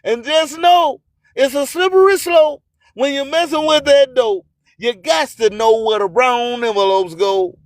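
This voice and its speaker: A radio host with a very high voice for a man, speaking slowly and emphatically. His delivery is declamatory and clean, built on repeated catchphrases and motivational address. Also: no echo, studio quality. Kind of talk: motivational radio monologue with promotional shout-outs.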